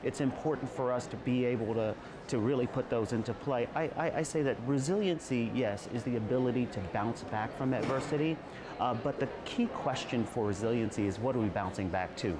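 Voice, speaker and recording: A man speaking earnestly as an interview guest, at times halting and repeating words. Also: medium pitch, background noise. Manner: emotional, halting restarts. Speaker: guest, trauma survivor and advocate.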